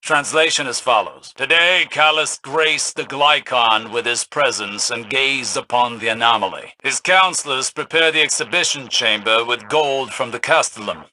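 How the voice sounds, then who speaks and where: Casting: grave scholarly older researcher, game dialogue, formal and precise, piercingly bright tone. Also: male voice, medium pitch, moderate pace, hardly any pauses, low-quality recording. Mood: measured, analytical. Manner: formal, precise, clipped delivery. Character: grave scholarly older male researcher reading translated logs.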